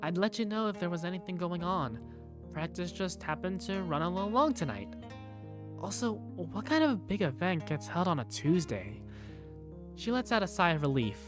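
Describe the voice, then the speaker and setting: A woman's voice: fairly low pitch, expressive, normal speed, music in the background, lightly acted. A woman playing an affectionate young working big sister, narrating her own story in a visual novel fan dub. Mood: affectionate, playful. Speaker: narrator and protagonist. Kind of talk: first-person visual novel narration and dialogue.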